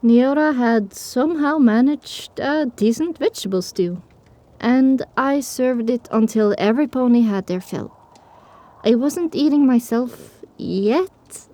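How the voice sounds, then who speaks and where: A woman's voice: fairly high pitch, a little slow, dark tone. A woman reading scripted first-person story narration for an audio drama.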